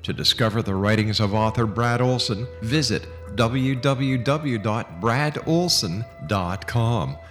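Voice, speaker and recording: A man's voice: mid-range voice, unhurried, hardly any pauses, faint music behind. A man hosting a radio show, speaking in an emphatic, showman-like announcer style.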